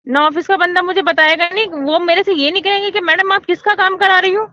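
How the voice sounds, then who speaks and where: A woman's voice: very high-pitched, fairly even intonation, fairly quick, sharp tone. A woman from arguing insistently on a phone call, scolding and talking over the other person. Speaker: agent handling housing forms. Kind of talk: heated phone argument.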